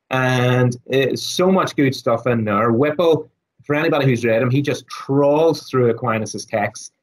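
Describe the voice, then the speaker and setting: Raspy, hoarse man explaining thoughtfully. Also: medium pitch, poor audio. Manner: thoughtful, many fillers and restarts. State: hoarse, losing his voice. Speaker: guest scholar on Aquinas. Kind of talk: casual interview talk.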